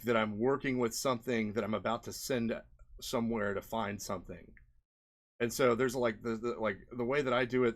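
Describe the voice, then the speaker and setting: A man giving an unscripted, casual walkthrough as a presenter, rambling conversationally and often checking in with the listener. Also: mid-range voice. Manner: rambling, conversational, often seeks agreement with "right?" and "you know". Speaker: indie game developer, presenter.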